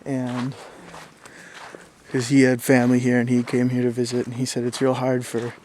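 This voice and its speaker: Young man, southern Minnesotan accent, flat even delivery, casual vlog, faint background hiss. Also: medium pitch, normal speed. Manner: rambling, many fillers and restarts. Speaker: vlogger. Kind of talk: casual talk to camera.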